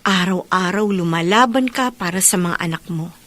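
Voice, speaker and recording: An actress with a Filipino accent playing a playful, admiring younger sister in a radio drama, bubbly, teasing and affectionate. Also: female voice, fairly low pitch, moderate pace, fairly bright tone, lightly acted. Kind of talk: Tagalog radio drama acting.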